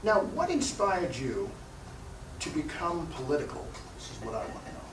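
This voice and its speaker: Higher-voiced male interview host, roomy and distant. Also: wildly swooping pitch, moderate pace. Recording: roomy, distant mic, faint noise.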